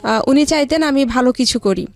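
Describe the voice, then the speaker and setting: A woman recounting her own life story as an interview guest, with halting, hesitant delivery. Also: high voice, darkish tone. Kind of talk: personal life-story narration.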